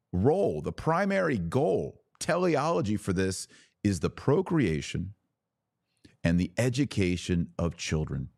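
A low-voiced man delivers opinionated religious commentary as a podcast host. His pitch swings widely as he preaches to the listener with emphatic, pointed phrasing. The sound is dry and close. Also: vocal fry, darkish tone, no room sound. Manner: emphatic, rhetorical questions, sarcastic asides.

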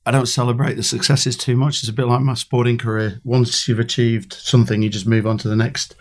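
A man from England, a former detective, speaking as an interview guest in a croaky voice from a cold, telling anecdotes with easy laughs. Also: mid-range voice, little pitch movement, fairly quick. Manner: anecdotal, self-deprecating, laughs easily. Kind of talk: casual storytelling interview.

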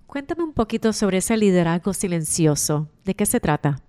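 A woman with a clear, smooth voice, hosting a podcast and interviewing a guest in a conversational way. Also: fairly low pitch, sing-song, moderate pace, warm tone.

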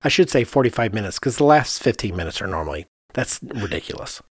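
A man's voice: fairly low pitch, big pitch swings, quick pace, husky, dry recording, clean studio sound. A man co-hosting a podcast as an architect, talking casually and thinking aloud, often voicing his own reasoning as quoted asides. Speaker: podcast host.

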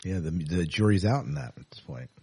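A man's voice: low voice, lively intonation, fairly quick, dark tone, breathy edge, dry recording. A middle-aged man hosting a podcast interview in an agreeable, informal, loosely phrased conversational way.

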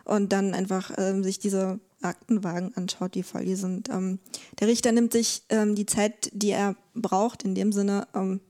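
A woman speaking as an expert guest in a conversational interview, explaining things haltingly and repeating words as she thinks. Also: medium pitch, little pitch movement, mellow. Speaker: podcast guest, legal expert.

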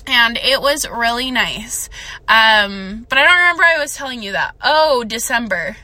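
A young woman hosting a casual solo podcast, chatty and excitable, a bit stuffed up from a cold, recorded on a phone. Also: fairly high pitch, normal speed, rough recording. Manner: chatty, excitable, rambling with fillers. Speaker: podcast host.

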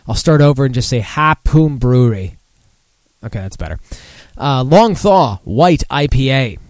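A man's voice: mid-range voice, big pitch swings, normal speed, a little mellow, dry recording. A mildly tipsy man hosting a casual live podcast, rambling and swearing in a self-deprecating way. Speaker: podcast host. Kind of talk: casual live beer-news chat.

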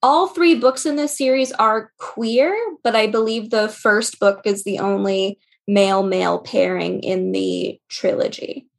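A woman with a mid-pitched voice chats casually about recommendations. She sounds enthusiastic and lets her phrases string loosely together, like a podcast co-host. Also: steady tone, a little slow, vocal fry, few pauses. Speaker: podcast guest co-host giving book recommendations. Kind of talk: casual book recommendation chat.

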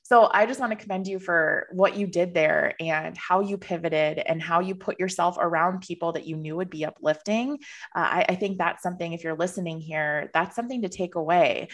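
A woman's voice: fairly low pitch, a little fast. A woman hosting a podcast interview, encouraging and reflective. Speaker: podcast host. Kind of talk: casual interview chat.

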